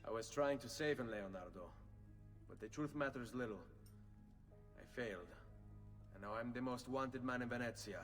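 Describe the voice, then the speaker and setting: A man's voice: mid-range voice, moderate pace, pauses often, soft background music, close to an everyday voice. A male actor playing an earnest, brooding young assassin with an Italian accent in a video game cutscene.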